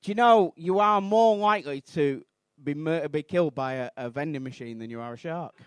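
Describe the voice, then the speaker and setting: Mancunian comedian, high voice, dry sound. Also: male voice, brisk, smooth, no room sound. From Manchester, England. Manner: rambling, self-deprecating, with bursts of shouted outrage. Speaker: stand-up comedian. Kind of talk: stand-up routine. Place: comedy club stage.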